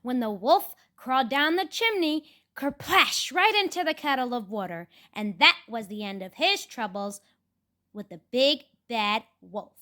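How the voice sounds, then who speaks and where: High, lively woman telling a children's story. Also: measured pace. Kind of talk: expressive children's puppet-show storytelling.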